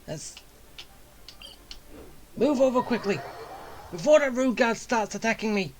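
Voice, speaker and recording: A man's voice: very high voice, animated, measured pace, slight background noise. A man giving casual gameplay commentary as a game streamer.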